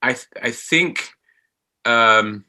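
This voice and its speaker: A middle-aged man, an actor talking as an interview guest, reflective and halting as he searches for words. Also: mid-range voice, pushed and bright.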